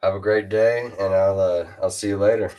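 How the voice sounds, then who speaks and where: A teenage boy with a super deep, low-pitched voice hosts a laid-back chat. He hesitates a lot, fills gaps with "uh," and restarts his sentences as he goes. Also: steady tone, brisk, muffled recording. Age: teens. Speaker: host interviewing a fellow rapper. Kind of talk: casual chat.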